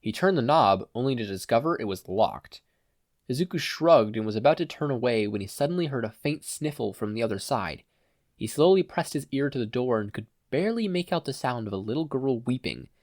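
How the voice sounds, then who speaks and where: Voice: mid-range voice, lively intonation, a little fast, darkish tone, dry recording, clean studio sound. A narrator reading fan fiction as a scripted audiobook.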